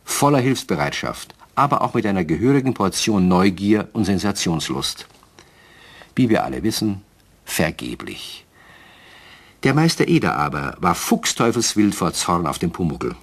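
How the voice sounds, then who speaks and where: A man's voice: low-pitched, very animated, normal speed. A man narrating a radio play as a storyteller, with scripted, slightly wry delivery.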